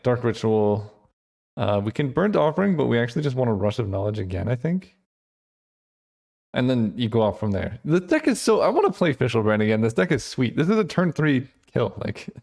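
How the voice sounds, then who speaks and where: A man hosting his channel, thinking aloud in casual gameplay commentary with lots of fillers, his voice getting hoarse. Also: medium pitch, a little mellow. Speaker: channel host.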